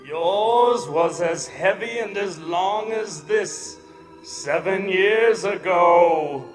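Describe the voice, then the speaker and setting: A mournful ghost in a radio play, high voice, drowned in hall reverb, solemn and declamatory. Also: male voice, extremely slow. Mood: solemn, tormented. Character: tormented male ghost, remorseful, solemn, warning. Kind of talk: stage radio drama acting. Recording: echoing hall, long reverb, music underneath.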